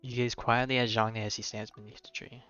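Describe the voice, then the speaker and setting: A man's voice: medium pitch, little pitch movement, fast talker, bright, forceful tone, clean tone, dull audio, faint music behind. A man livestreaming a game with casual, informal commentary, thinking aloud and reading on-screen text.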